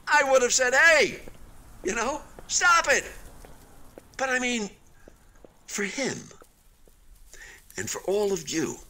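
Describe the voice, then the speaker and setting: An actor in a radio play voicing an unfinished hotel building, a wistful but stubbornly hopeful man who talks in a halting, conversational way. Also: sits high, bright, forceful tone, slight background noise, exaggerated voice. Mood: proud, cheerful. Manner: halting, conversational, with sighs and emphatic swings.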